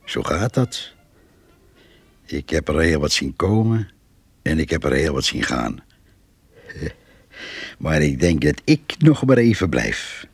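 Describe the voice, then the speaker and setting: A man playing an old countryman in a Dutch radio drama, musing on life and the farm in a folksy, rambling way with a gravelly, husky voice. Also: extremely low pitch, no echo, full character voice.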